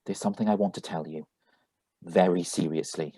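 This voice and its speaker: A man acting an earnest, tender young suitor, husky voice. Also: sits low, steady tone, a little fast, dark tone, no room sound. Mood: earnest, nervous. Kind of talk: live reading of a radio drama script.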